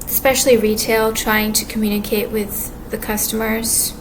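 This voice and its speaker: A woman with a quiet voice talks casually to camera, rambling and halting with many restarts and fillers. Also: mid-range voice, little pitch movement. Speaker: vlogger. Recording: clear background ambience noise.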